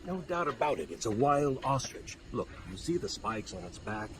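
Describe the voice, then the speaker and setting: A man's voice: mid-range voice, big pitch swings, moderate pace, noisy background, lightly acted. A man playing a wordy, fussy scholar in a video game, speaking in pompous, formal phrases. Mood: pedantic concern. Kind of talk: video game cutscene acting.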